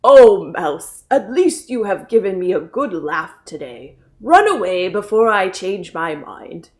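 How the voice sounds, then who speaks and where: A woman voicing a big, proud, hungry male lion for a children's storybook reading, gruff and booming. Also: big pitch swings, measured pace. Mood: scornful.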